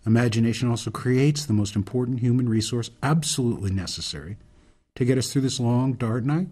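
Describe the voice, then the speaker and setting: A man acting in a radio drama as an earnest older reformer, delivering a deliberate, solemn, speechifying monologue. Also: medium pitch, warm tone, breathy edge, lightly acted. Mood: grave, urgent. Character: earnest older male reformer laying out a grave case.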